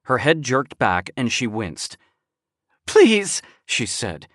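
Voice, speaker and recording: A man voicing a frightened, indignant woman who shouts and pleads in a shrill voice, read for an audiobook. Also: huge pitch swings, slow pace, no echo, clean studio sound, exaggerated voice. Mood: terrified pleading. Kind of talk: audiobook character voice.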